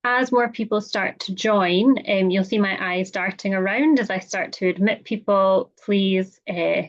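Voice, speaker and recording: A woman's voice: medium pitch, unhurried. A woman with a Scottish accent hosts an online meeting. She talks through housekeeping in a chatty, welcoming way with frequent "um" fillers. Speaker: webinar host. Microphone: computer or headset mic on a video call. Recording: slightly dull video-call audio.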